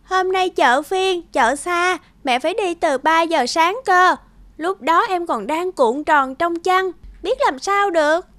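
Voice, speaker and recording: A woman's voice: extremely high pitch, slow, a bit bright, no room sound, exaggerated voice. A woman voicing a caring, slightly bossy big-sister girl in a children's cartoon, playful and instructive. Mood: explaining. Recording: music under the dialogue.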